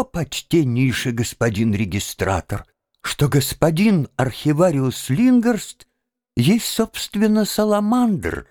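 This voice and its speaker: A man's voice: fairly high pitch, a little mellow, no room sound, studio quality. A man voicing a naive, dreamy young student who declaims earnestly and fervently in an audiobook reading. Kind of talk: dramatized audiobook reading of a classic tale.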